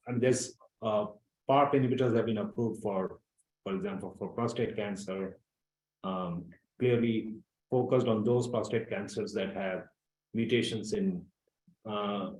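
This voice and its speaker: Scientist man, lowish voice, careful technical answer, echoey room. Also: measured pace, a little mellow. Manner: careful, technical explanation with uhs. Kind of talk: earnings call Q&A.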